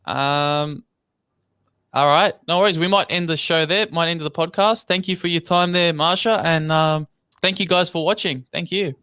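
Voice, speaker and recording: Bright, clear-voiced podcast host. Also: male voice, fairly high pitch, normal speed, no room sound, clean studio sound. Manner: relaxed, with frequent fillers. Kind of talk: casual live podcast chat.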